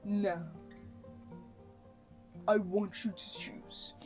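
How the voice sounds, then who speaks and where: A woman's voice: medium pitch, level tone, drawn-out words, a little mellow, pitched above the performer's own voice. A woman voicing a lonely, earnest young hunter in love, subdued and tender in a storybook telling. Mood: pleading, sad. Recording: muffled, music under the voice.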